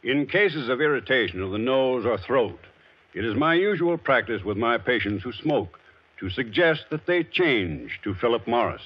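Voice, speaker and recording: An earnest, authoritative physician gives an old radio testimonial. The voice is husky, the recording dull. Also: male voice, medium pitch, expressive, moderate pace. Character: earnest, authoritative male doctor endorsing a product. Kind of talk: 1940s radio advertising testimonial.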